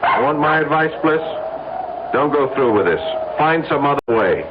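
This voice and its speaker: An actor in an old-time radio drama playing an uneasy, principled man being blackmailed, weary, halting and emphatic. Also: a little high, lively intonation, natural voice. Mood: firm, uneasy. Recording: tinny, noisy old radio broadcast.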